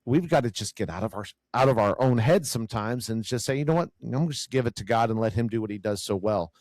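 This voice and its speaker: A man with a growly, loud voice talks earnestly about faith, stumbling and repeating words as he gathers his thoughts. His pitch sits in the middle for a man. The recording is dry and close, with no room sound. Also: quick pace, no room sound. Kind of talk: casual faith conversation.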